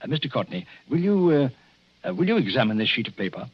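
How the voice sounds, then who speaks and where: Grave English doctor, husky, wildly swinging delivery. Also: male voice, medium pitch, sing-song, a little fast. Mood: urgent, foreboding. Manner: formal, hesitant, swelling to theatrical intensity. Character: grave, hesitant English doctor, ominously warning. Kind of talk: 1940s radio acting. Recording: dull 1940s radio recording.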